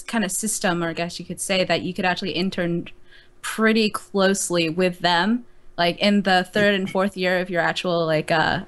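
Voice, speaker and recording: A woman's voice: on the low side, a bit bright. A woman in her twenties in a casual chat, brash and chatty, swearing casually. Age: 20s.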